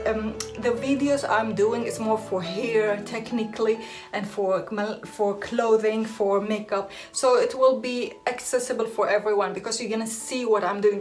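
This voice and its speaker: A young woman from Iraq whose first language is Aramaic, chatting casually to camera as a hair and beauty vlogger, laughing often and restarting her sentences. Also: a little high.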